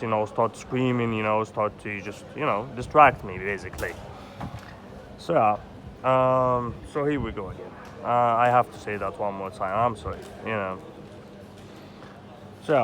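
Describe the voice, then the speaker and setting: A man in his thirties from Egypt, ranting in an agitated, rambling way with lots of swearing and filler words. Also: on the low side. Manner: agitated, rambling, swears often, heavy "you know" fillers. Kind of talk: rambling personal vlog monologue. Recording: loud outdoor street traffic noise.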